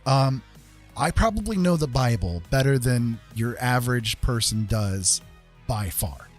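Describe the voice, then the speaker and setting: A man with a medium-pitched voice hosts a casual livestream with music behind him. He speaks in an opinionated, conversational manner, leaning on key points and regularly checking that the listener follows. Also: animated, a little slow. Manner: emphatic, conversational, often checking with "right?" and "okay?". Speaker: livestream host.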